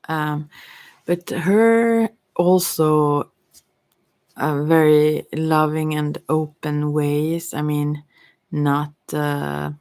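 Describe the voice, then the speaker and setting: A woman's voice: deep voice, a little mellow, no echo. A middle-aged woman, chatting informally in an ASMR style with a hesitant, meandering manner, close to the microphone. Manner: hesitant, meandering, giggly asides. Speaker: ASMR tarot reader. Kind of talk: rambling, informal ASMR chat.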